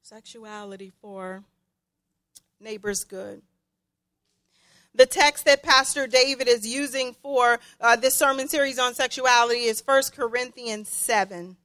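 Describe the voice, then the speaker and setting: A woman delivers a sermon in a high, bright voice. She takes her time, repeating phrases deliberately so that they land with emphasis. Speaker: guest preacher.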